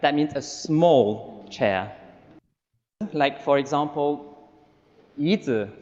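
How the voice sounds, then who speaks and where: Man, Taiwanese accent, very slow and careful, slightly raised pitch, dull reverberant playback sound. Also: darkish tone. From Cambodia. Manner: patient, careful, spells out sounds one by one. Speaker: language teacher in a recorded video. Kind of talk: slow methodical explanation. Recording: dull, reverberant played-back video audio.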